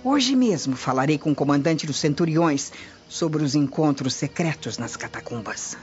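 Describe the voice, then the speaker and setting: A woman's voice: low-pitched, animated, moderate pace, music in the background. A woman with a Brazilian accent playing a haughty, scheming Roman noblewoman in a radio drama, imperious and theatrical. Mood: scornful, plotting. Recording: dull lo-fi radio drama audio.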